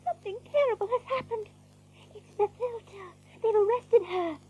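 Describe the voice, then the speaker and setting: Very high, frightened doe rabbit. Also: female voice, normal speed. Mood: panicked, pleading. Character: frightened, pleading young female rabbit. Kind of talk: audio drama acting. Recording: muffled lo-fi tape.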